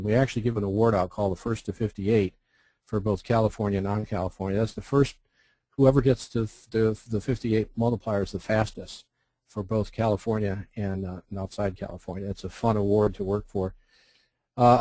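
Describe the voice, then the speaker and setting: A man's voice: on the low side, mellow, dry recording. An American man giving an informal instructional presentation, explaining things by posing questions and then answering them. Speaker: presenter, contest representative.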